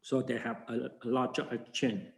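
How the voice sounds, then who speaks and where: An older man, a Buddhist monk teaching a class in halting full of fillers and restarts. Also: medium pitch, little pitch movement, vocal fry, echoey room. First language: Chinese. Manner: halting non-native English with many fillers and restarts. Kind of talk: informal dharma class talk.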